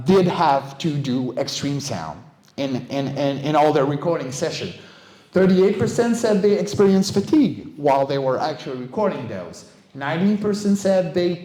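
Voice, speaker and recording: A man's voice: on the high side, darkish tone. A middle-aged man, a native French speaker, giving an informal talk as a guest speaker and voice coach. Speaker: guest speaker, voice director and vocal stunt coach. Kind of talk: casual conference talk. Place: indoor game developer meetup venue. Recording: roomy, echoing event space.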